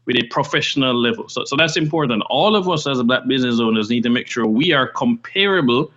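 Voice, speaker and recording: A man's voice: medium pitch, expressive, fast, a bit bright, lo-fi sound. A man from Jamaica, a guest on an interview podcast, making his points earnestly and step by step. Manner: earnest, emphatic, builds points in numbered steps. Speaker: investment firm CEO, guest.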